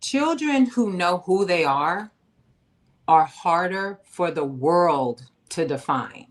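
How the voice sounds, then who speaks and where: Low-pitched New woman host, emphatic and slangy, casual interview. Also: animated, takes it slowly. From New York. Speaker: podcast host.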